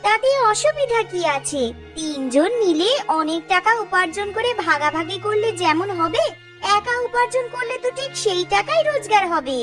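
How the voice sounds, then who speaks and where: A woman's voice: very high voice, animated, normal speed. A woman playing a peevish, jealous village farmer's wife in a cartoon, nagging and confrontational. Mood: accusing. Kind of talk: animated cartoon dialogue. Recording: music bed behind the voice.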